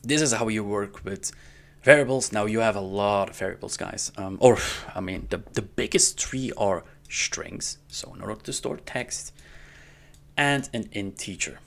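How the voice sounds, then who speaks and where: Creaky low male voice, casual coding tutorial, explains stuff step by step. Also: moderate pace. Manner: informal, step-by-step explaining, often checking with "okay?" and "right?". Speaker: programming tutorial instructor. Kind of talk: casual screen-recorded coding lesson. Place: indoors at a computer.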